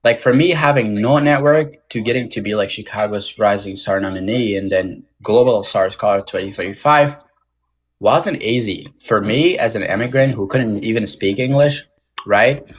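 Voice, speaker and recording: A young man, a podcast guest who grew up outside the English-speaking world, speaking in a halting, casual way with many fillers and restarts. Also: lowish voice. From Tajik American, born in Moscow. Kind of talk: casual interview talk.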